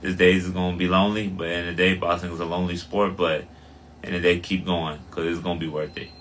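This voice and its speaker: A man's voice: low-pitched, little pitch movement, fast, a bit bright. A young man, a boxer, giving laid-back interview answers full of "you know what I'm saying". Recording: faint ambient noise.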